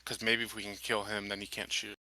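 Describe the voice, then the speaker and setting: A man's voice: fairly low pitch, flat delivery, a little fast, pushed and bright, no echo, low-quality recording. A man chatting casually as a player in a tabletop game.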